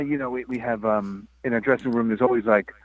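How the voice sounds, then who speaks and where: A man's voice: medium pitch, expressive, moderate pace, rough recording. A man who is a guest musician on a casual interview show, talking in a laid-back, joking way with many hesitations and fillers.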